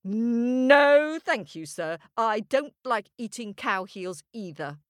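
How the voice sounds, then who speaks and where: A woman voicing a young boy who answers in a high, hesitant, polite way. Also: expressive, slow pace, no echo, clean studio sound. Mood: politely nervous.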